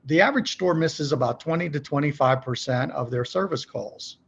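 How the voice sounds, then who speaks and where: A man's voice: on the high side, brisk, gravelly, lo-fi sound, a little hiss or hum. A middle-aged man speaking as a podcast guest, explaining business practice conversationally in a folksy way, often posing questions and checking in with the listener. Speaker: podcast guest, company executive.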